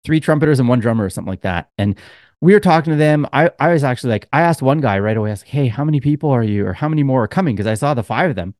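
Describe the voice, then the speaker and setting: A man's voice: medium pitch, lively intonation, rapid-fire, darkish tone, no room sound, studio quality. A man co-hosting a podcast, telling a long, rambling story in casual chat with lots of fillers. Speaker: podcast co-host.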